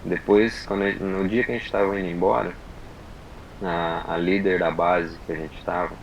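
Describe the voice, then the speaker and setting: A man from Brazil telling a personal story on a podcast, in unhurried, halting casual chat full of fillers and restarts. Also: deep voice, level tone, gravelly, dull audio, a little hiss or hum. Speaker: podcast guest.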